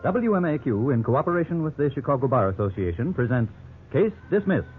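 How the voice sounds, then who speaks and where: A man announcing and narrating gravely and theatrically in 1950s radio style. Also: mid-range voice, very animated, fairly quick. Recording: narrow-band old radio broadcast. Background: loud music.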